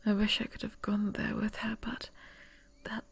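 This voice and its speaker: A woman voicing a young noblewoman who would be heir, whispering softly and stammering when flustered, in an ASMR roleplay. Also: medium pitch, monotone, moderate pace, creaky, slightly rough. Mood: wistful.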